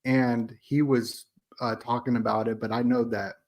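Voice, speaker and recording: A man's voice: mid-range voice, little pitch movement, moderate pace, darkish tone, dull audio. A man on a podcast panel talking casually, in a loose, associative, conversational way. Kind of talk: casual discussion.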